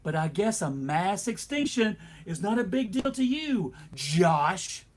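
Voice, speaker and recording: Husky, animated, wry comic narrator. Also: mid-range voice, slow pace. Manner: wry, theatrical. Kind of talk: scripted comedic narration.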